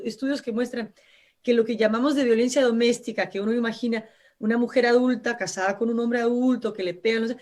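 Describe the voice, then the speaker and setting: A woman with a Brazilian accent, a native Portuguese speaker, talks through a live radio interview as the invited expert. Her voice sits a little high, and she explains earnestly, often restarting her phrases and padding them with small fillers. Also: a little mellow. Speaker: guest expert.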